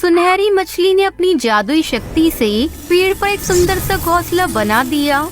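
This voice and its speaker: A woman's voice: very high voice, lively intonation, a little slow, soft background music. A woman storyteller reading a scripted children's story as narrator.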